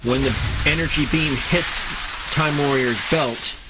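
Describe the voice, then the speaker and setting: A man narrating a scripted superhero audio drama in a deliberate style, with dramatic pauses between phrases. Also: fairly high pitch, heavy background noise.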